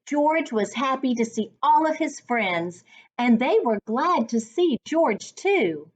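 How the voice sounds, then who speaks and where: Slow, very animated woman reading a storybook. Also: high-pitched. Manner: expressive, deliberate storytelling for young children. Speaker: reading coach reading aloud. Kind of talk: children's storybook read-aloud.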